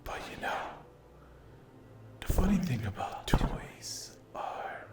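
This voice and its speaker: A man voicing a cruel, mocking sleep paralysis demon in a breathy ASMR roleplay, taunting and condescending in drawn-out phrases. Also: close to an everyday voice. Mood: smug, possessive. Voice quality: breathy whisper. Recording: roomy echo.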